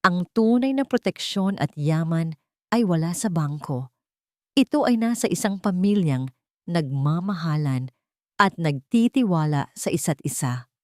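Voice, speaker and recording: A woman's voice: lowish voice, expressive, measured pace, darkish tone, no room sound, studio quality. A woman with a Filipino accent voicing a dignified, affectionate older father in a storybook narration, formal and earnest. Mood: remorseful.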